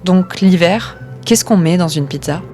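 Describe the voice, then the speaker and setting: Woman, podcast host, low voice, scripted narration, measured, faint music behind.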